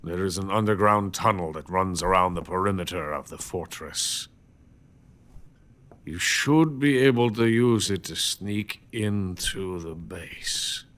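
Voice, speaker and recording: An actor playing a weary, proud older Soviet scientist, speaking in a deliberate, grandiose way in a dramatic video game. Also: male voice, sits low, a bit bright. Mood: urgent, instructive.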